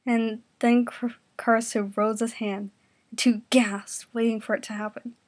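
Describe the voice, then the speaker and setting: A woman's voice: a little high, flat delivery, unhurried. A woman narrating a comedic story in an informal amateur style, now and then stumbling over words. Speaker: reader narrating fan fiction.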